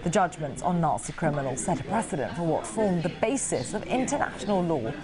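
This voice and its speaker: A woman's voice: on the low side, sing-song, a little fast, a crowd in the background. A woman reading a scripted news report as an anchor.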